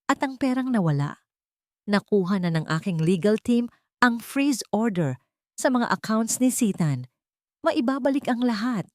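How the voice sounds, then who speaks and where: A woman voicing a resolute, forgiving young wife with quiet authority in a dramatic romance story. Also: on the high side, lively intonation, measured pace, no echo, clean studio sound, pitched above the performer's own voice. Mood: firm reassurance.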